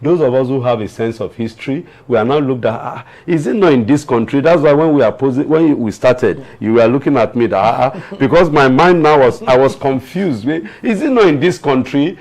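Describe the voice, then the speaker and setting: A man's voice: mid-range voice, brisk, hardly any pauses, a little mellow, poor audio. A man in his sixties with a Nigerian accent, talking as a television panelist, repeating himself for emphasis and storytelling. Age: 60s. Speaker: panelist on a TV talk show. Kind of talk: animated opinion and anecdote in conversation.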